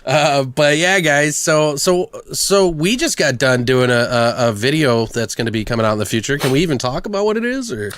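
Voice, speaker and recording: A man's voice: mid-range voice, expressive, moderate pace, few pauses, a bit bright. A man hosting a podcast in casual banter, informal, joking and freely swearing. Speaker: podcast host.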